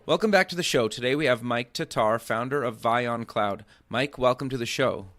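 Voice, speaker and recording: A man hosting an interview show in a relaxed, conversational way. He fills his questions with "kinda" and answers with short "sure"s and "okays.". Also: medium pitch, normal speed, soft background music. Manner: filler-heavy, leans on "kinda" and "sure". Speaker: podcast host.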